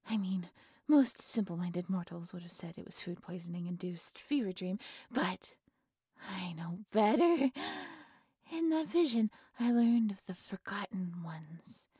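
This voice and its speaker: A woman playing a giddy, devoted cultist who worships her captive, soft and breathy, often slipping into whispers, in a gushing, reverent audio roleplay monologue. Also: fairly low pitch, a little slow, no echo, clean studio sound, full character voice. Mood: earnest confiding.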